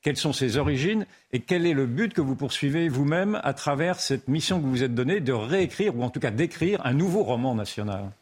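A man's voice: mid-range voice, lively intonation, fairly quick, hardly any pauses, darkish tone, husky. A man hosting a studio interview, asking long, courteous questions with frequent restarts. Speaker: host interviewer.

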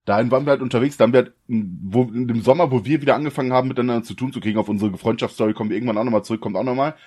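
A man's voice: mid-range voice, very fast, husky. Casual young man on a podcast. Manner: relaxed slangy youth talk, laughs often. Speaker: podcast co-host. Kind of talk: casual chat.

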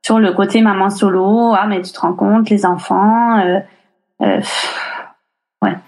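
A woman's voice: mid-range voice, steady tone, measured pace, creaky, darkish tone, poor audio. A woman in her thirties talking casually in an interview, chatty and full of fillers and restarts. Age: 30s. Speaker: interviewee, single mother. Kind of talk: casual chat.

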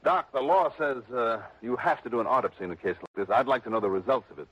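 An actor playing a wry, probing investigator who also narrates, in a 1960s radio drama. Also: male voice, medium pitch, animated, quick pace, a bit bright, no room sound. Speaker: lead and narrator. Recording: dull lo-fi old radio broadcast.

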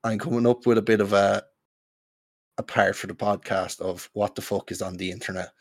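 A man's voice: on the low side, fairly even intonation, brisk, no room sound. An Irish man chatting casually with friends as a podcast host, telling stories bluntly with plenty of swearing.

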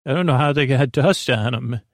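Creaky-voiced man, rambling bedtime podcast host. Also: medium pitch, no room sound, clean studio sound. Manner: meandering, halting, self-deprecating, gently absurd tangents. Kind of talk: rambling, soothing bedtime monologue.

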